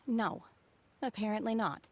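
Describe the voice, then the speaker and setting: A woman voicing an aloof, stoic young adept from the mountains in a video game, calm, blunt and deadpan. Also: medium pitch, takes it slowly, a little hiss or hum, natural voice. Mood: calm, matter-of-fact.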